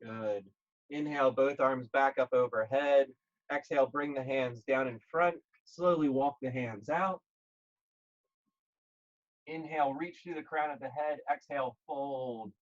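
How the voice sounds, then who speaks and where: A man leading a guided yoga class, speaking gently and calmly in short, measured phrases with pauses. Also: medium pitch, muffled recording. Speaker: yoga instructor.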